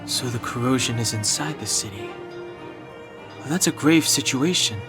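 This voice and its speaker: Man acting level-headed companion, serious, deadpan-dry game dialogue, mid-range male voice. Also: medium pitch, normal speed, music in the background, lightly acted. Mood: grave. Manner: terse, dry, sober.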